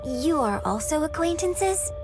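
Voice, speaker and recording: An actress playing a refined, modest young opera performer in a video game, speaking formally and graciously. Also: female voice, sits high, big pitch swings, a little slow, over loud music, full character voice. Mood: gracious, humble.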